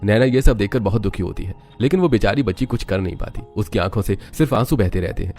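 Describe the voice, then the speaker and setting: Deep-voiced, brisk male story narrator. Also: darkish tone. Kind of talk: scripted dramatic story narration. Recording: background music under voice.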